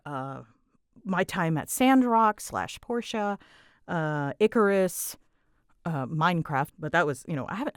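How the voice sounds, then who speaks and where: A woman's voice: mid-range voice, fairly bright tone, clean tone, dry recording, clean studio sound. A woman creator answering audience questions in a casual, rambling chat, candid and often stopping to rephrase herself. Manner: halting, self-interrupting, candid.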